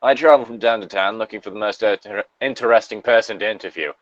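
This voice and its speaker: Man putting on a sly English-accented gentleman villain. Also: fairly low pitch, quick pace, no room sound, lo-fi sound, close to an everyday voice. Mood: smooth, formal. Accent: put-on English. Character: sly older male wizard villain posing as reporter.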